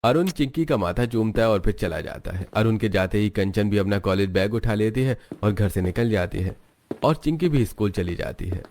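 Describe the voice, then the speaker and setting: Calm male storyteller. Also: fairly low pitch. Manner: even, storytelling. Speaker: narrator. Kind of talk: scripted story narration.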